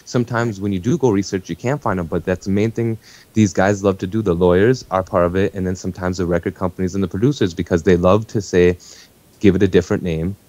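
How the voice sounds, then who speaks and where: A man's voice: low-pitched, fairly even intonation, fast, a little mellow, no room sound, highs cut off. A man talking casually as a panelist in a talk show discussion.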